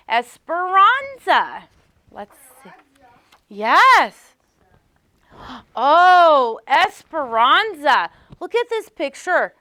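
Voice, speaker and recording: Very high-pitched, animated woman teacher. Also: dry recording, clean studio sound. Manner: animated, simple questions with emphatic repetition for small children. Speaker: teacher reading aloud to young pupils. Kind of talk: interactive storybook read-aloud lesson.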